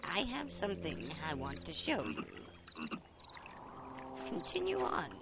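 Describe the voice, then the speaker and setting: Eccentric male wizard mentor, creaky, wildly varying pitch, drawn-out delivery, muffled amateur sound under music. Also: wildly swooping pitch, background noise, exaggerated voice. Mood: reassuring. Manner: drawn-out, swooping theatrical delivery. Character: eccentric wizard mentor, kindly yet sly, knowing. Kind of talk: hobby fantasy audio drama acting. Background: loud music.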